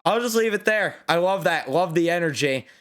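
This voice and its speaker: A man's voice: high voice, animated, a little fast, fairly bright tone. Tired young man doing blunt game reactions. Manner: blunt, opinionated, swears freely. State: tired and losing his voice. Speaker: sports reaction host. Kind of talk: casual post-game reaction.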